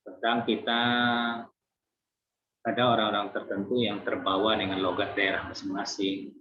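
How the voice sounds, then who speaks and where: A man's voice: fairly low pitch, moderate pace. Man lecturing in a roomy, dull recording. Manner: relaxed, conversational explaining with many fillers and restarts. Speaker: nursing lecturer. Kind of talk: online lecture.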